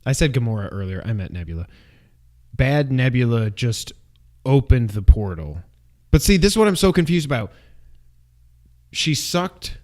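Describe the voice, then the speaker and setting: A male co-host talks through a film in a loose, unscripted way at an average pitch. He rambles, breaks into excitable asides and corrects himself as he goes. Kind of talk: casual unscripted movie discussion.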